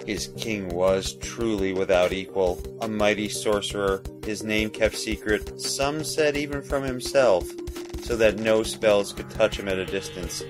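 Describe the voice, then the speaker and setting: A man's voice: on the low side, unhurried. A man reading scripted, dramatic fantasy narration for an audio drama. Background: music.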